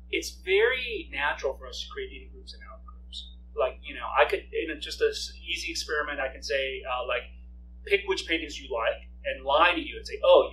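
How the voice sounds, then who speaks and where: Middle-aged man, native Korean speaker, talking off the cuff to a room; somewhat distant mic, faint hum. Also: fairly high pitch. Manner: animated, thinking aloud with many fillers, gestures while talking. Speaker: speaker leading a campus discussion. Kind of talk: conversational talk answering audience. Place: lecture room with projection screen.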